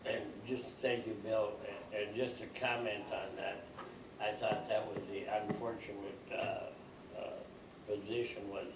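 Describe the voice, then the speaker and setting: A man chairing a county committee meeting, speaking in a halting, soft-spoken way. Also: lowish voice, flat delivery. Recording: distant mic in echoey room with hiss.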